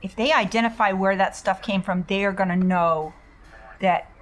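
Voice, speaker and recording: A woman's voice: mid-range voice, moderate pace. A woman playing a tabletop role-playing game, casually improvising her character's lines and actions.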